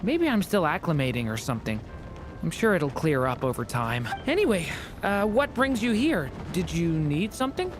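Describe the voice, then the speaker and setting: A woman voicing a gentle, polite young dragon in human form, a little shy. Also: fairly low pitch, big pitch swings, normal speed. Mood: reassuring. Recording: game audio with music underneath.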